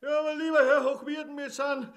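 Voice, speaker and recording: An actor playing a poor, put-upon peasant in a farcical radio play, whining and excitable, with a Viennese accent. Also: male voice, extremely high pitch, brisk, raised voice. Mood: flustered, protesting. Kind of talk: farcical radio drama acting.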